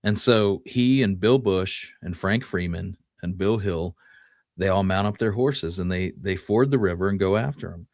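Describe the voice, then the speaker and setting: Male podcast host, slightly low voice, folksy and conversational history storytelling, very dry sound. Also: moderate pace, no echo. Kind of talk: casual storytelling, Wild West history talk.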